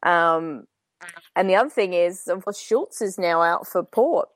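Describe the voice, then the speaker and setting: Aussie woman, kinda croaky, joking around, dry close sound. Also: on the low side, a little slow, dry recording. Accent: Australian. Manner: chatty, joking, laughs often. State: slightly croaky voice. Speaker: podcast co-host. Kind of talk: casual chat about football.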